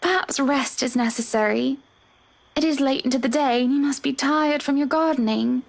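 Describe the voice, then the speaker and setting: Man putting on a high, creaky, doting wife. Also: moderate pace. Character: soft, affectionate wife, composed and faintly eerie.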